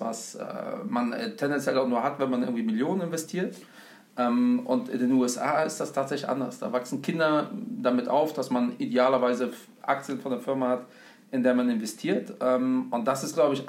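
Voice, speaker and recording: A man from Germany explaining investing in a casual conversation, with many fillers and examples. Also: medium pitch. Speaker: investment speaker, guest. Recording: roomy echo.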